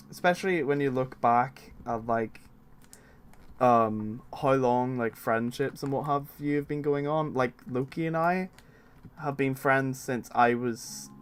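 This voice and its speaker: A man's voice: medium pitch, slight background noise. A man in his twenties chatting casually on a stream, rambling with many fillers and restarts. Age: 20s. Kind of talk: casual chat.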